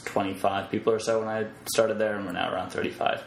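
A man's voice: on the low side, flat delivery, fast, creaky. An easygoing man talking casually as a podcast guest, often with a chuckle. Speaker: podcast guest, web developer. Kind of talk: casual chat. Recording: roomy echo, faint background noise.

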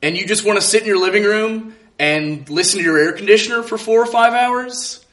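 Man telling a personal story, high bright voice. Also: fairly quick. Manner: earnest, reflective. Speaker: storyteller at a live show. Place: live event in a room with an audience.

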